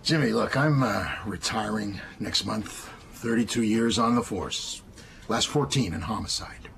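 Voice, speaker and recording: An actor playing a considerate, plain-spoken American homicide detective in his fifties in a radio drama, gravelly and a little hesitant. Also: on the low side, a little slow, fairly bright tone, slight background noise. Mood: concerned, plain. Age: 50s.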